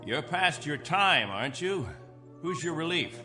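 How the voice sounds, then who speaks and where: A man acting in a radio drama as a stern, commanding captain, speaking with measured, sardonic authority. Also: fairly high pitch, big pitch swings, music in the background. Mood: brisk, commanding. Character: stern, commanding male captain of a stranded settlement.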